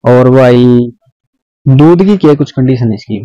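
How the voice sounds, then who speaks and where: A man's voice: mid-range voice, unhurried, warm tone, no room sound, highs cut off. A young man with a Haryanvi accent, hosting a casual outdoor interview in a village animal yard and repeating each answer back. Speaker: livestock video host.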